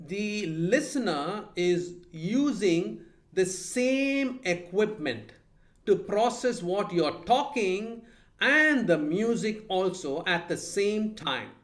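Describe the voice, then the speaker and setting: Man moderating an online club meeting. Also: sits high. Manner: measured, explanatory, with hesitations. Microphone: video call.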